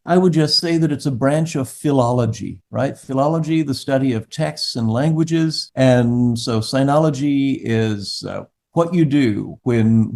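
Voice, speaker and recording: A man answering an audience question in a measured, explanatory academic way. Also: mid-range voice, dark tone.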